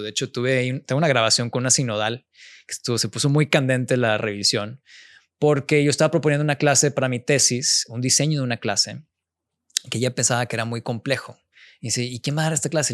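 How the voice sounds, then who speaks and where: A man, a guest architect telling stories in a relaxed interview chat. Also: medium pitch, no echo, clean studio sound. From born in the United States, raised Mexican. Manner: relaxed storytelling, mixes in English words. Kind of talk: casual interview conversation.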